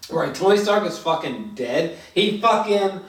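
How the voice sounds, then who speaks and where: A man is heard from a little way off in an echoey room. He reviews films in casual conversation as a host, groping for words in a halting, informal flow with some swearing. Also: sits high. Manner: halting, filler-heavy, swearing, animated when excited. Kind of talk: casual film review chat. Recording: echoey room, distant from mic.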